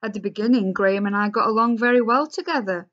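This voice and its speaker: Woman reader as thoughtful male scientist, gentle and earnest, storybook. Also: medium pitch, fast, dull audio, voice pushed up, close to an everyday voice.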